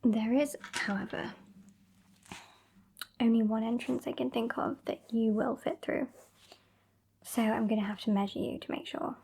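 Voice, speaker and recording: A British woman playing a sassy, bossy centuries-old female vampire in an ASMR roleplay, soft and whispery, with a haughty, teasing, dry delivery. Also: a little high, creaky, husky, exaggerated voice. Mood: condescending, teasing.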